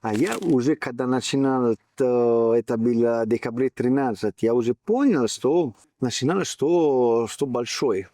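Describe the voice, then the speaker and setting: A man from Italy with an Italian accent, telling his story in an interview in halting, non-native speech. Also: medium pitch, mellow, no room sound. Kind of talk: personal account in interview.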